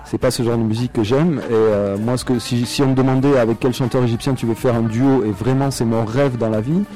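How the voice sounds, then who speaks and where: Relaxed hesitant man with dark voice. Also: mid-range voice, fairly quick, soft background music. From France. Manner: relaxed, hesitant, many fillers, laughs often. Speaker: interviewed singer and musician. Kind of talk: casual radio interview.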